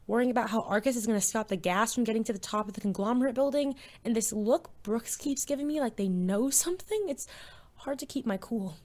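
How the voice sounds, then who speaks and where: A woman's voice: mid-range voice, close to an everyday voice. A woman playing an anxious, self-doubting teenage student in an audio drama, with a hesitant, awkward, wry manner. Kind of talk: audio drama acting with first-person narration.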